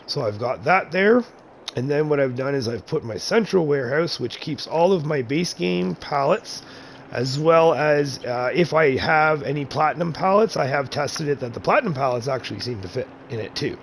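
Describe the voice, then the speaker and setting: Gravelly man giving a rambling game tour. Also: a little high, expressive, normal speed, a little hiss or hum. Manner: rambling, self-correcting, chuckles at himself. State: slightly raspy from chest congestion. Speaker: gaming channel host. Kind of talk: casual game map tour commentary.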